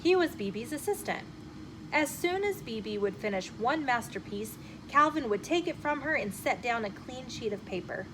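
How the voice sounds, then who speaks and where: A woman storyteller reading a children's chapter book aloud in an expressive, emphatic way. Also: fairly high pitch, moderate pace. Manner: animated, emphatic phrasing with acted dialogue. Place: inside a bookshop. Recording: noticeable room noise behind the voice.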